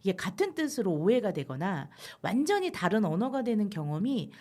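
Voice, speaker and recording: Animated woman with a Gangwon accent. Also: medium pitch, brisk. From Gangwon Province. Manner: polite, gently leading the talk. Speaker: podcast co-host. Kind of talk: casual chat.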